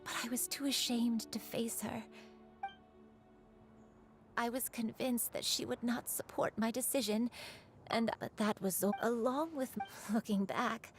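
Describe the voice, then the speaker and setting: A woman voicing a gentle, sorrowful elderly grandmother in a video game, halting and wistful. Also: a little high, fairly even intonation, close to an everyday voice. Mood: wistful, regretful. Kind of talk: anime-style video game voice acting. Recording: music behind the voice.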